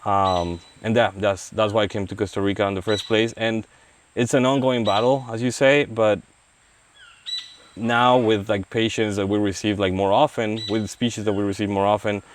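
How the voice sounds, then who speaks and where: Man, low voice, faint rain. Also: steady tone. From Mexico. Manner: halting, many restarts and "like" fillers. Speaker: wildlife veterinarian. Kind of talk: casual interview. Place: outdoors in a rainforest release site. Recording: faint outdoor noise, rain. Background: faint rain and forest noise.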